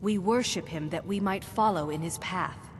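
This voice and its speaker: A woman's voice: normal speed, soft background music, lightly acted. A woman playing an earnest, devout priest in a fantasy game, speaking in a solemn, matter-of-fact way. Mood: reverent. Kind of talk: fantasy video game acting. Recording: reverberant hall, faint rumbling noise.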